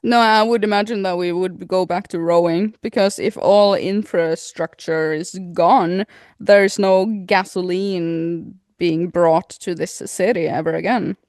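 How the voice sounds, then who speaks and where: A woman's voice: fairly low pitch, fairly even intonation, measured pace, a little mellow, dry recording. Woman podcaster, dry close sound. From Norway. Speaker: podcast co-host. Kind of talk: casual chat.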